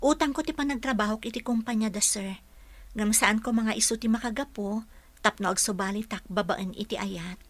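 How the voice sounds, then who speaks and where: An actress playing a gentle, hesitant young working woman being courted in an Ilocano radio drama, polite and earnest, with an Ilocano accent. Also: mid-range voice, brisk, no echo, lightly acted. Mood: apologetic, firm.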